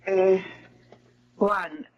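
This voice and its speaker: A woman's voice: medium pitch, steady tone, very slow. A woman acting a patient, coaxing older mother in a Filipino TV drama, with a Filipino accent. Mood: pleading. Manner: coaxing, apologetic. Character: pleading, patient older mother of grown children. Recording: slightly dull, muffled drama audio.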